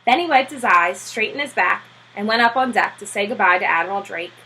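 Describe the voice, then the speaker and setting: A woman reading a children's novel aloud as a storybook narrator, with a husky voice. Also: medium pitch, animated, brisk, bright, forceful tone, poor audio. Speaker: storybook reader.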